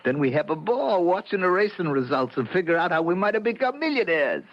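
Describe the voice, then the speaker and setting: A man's voice: high voice, sing-song, a little fast. An actor in a radio drama playing a brash, streetwise working guy who needles and coaxes in slang. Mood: coaxing. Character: brash streetwise small-time working guy, coaxing his buddy. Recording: dull lo-fi old radio recording.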